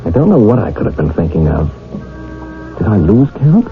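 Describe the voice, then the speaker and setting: A man's voice: fairly low pitch, big pitch swings, normal speed, faint music behind. An actor playing an earnest, polite young blind man in a radio drama, speaking in a hesitant, apologetic way. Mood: tense, reflective. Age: young adult. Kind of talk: radio drama acting and first-person narration. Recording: tinny, band-limited old radio drama broadcast.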